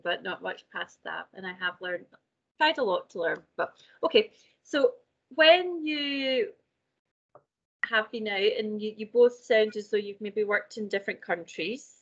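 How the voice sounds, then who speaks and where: A woman's voice: medium pitch, a little slow, fairly bright tone. Host: woman moderating a video panel, encouraging, muffled call sound. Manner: encouraging, guides the questions. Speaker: event host. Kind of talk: hosting an online panel.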